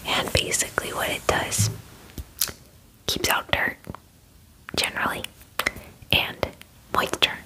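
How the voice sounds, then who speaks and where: A woman in her twenties whispering an ASMR roleplay as a friendly werewolf groomer, in a breathy, hesitant, chatty way, close to the microphone. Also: low-quality recording. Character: friendly young female werewolf salon groomer. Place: small indoor room at home. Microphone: close microphone, face near camera.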